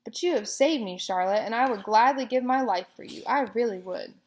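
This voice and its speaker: Storybook pig: woman acting out a desperate young boar, high and pleading. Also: expressive, brisk, close to an everyday voice. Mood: earnest. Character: young male pig, earnest and tearful.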